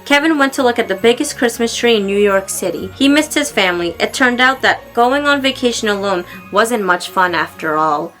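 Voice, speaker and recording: Bright-voiced woman reading a children's story. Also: on the high side, normal speed, few pauses, faint music behind. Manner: expressive, acting out exclamations and dialogue. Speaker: storybook reader. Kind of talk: read-aloud children's story narration.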